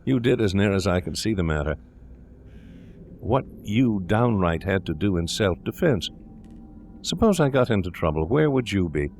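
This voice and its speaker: A man's voice: low voice, very animated, normal speed, darkish tone, slight background noise. A man voicing a cocky young medical assistant in an audio drama, jovially condescending and persuasive as a corrupting accomplice. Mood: coaxing, cynical. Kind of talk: dramatic audiobook character reading.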